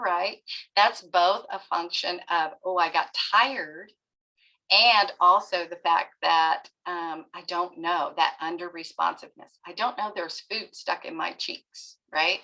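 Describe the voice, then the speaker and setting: A woman's voice: on the low side, gravelly, bright, forceful tone, poor audio. A middle-aged woman therapist giving a webinar lecture to parents, explaining step by step and often checking in with "right?". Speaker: pediatric therapist presenting a webinar.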